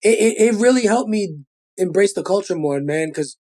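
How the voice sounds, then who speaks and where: A man in his forties with a thick Brooklyn accent, telling stories in a casual, animated, slangy way as an interview guest. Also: sits high, normal speed. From Brooklyn, New York. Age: 40s. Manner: animated street-style storytelling, slangy. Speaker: guest rapper.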